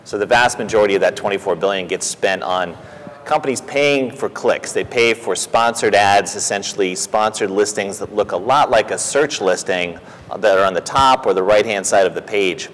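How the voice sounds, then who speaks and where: A man, a company president, explaining a technical topic in a steady, businesslike interview answer. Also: on the low side, normal speed. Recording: reverberant room.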